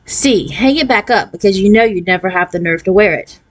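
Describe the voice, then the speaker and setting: A woman's voice: medium pitch, a little fast, close to an everyday voice, poor audio. A woman voicing a blunt, bossy fifth-grade girl with a dry wit in a children's storybook. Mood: brisk quizmaster. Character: Kate, brisk, sardonic fifth-grade girl, movie buff.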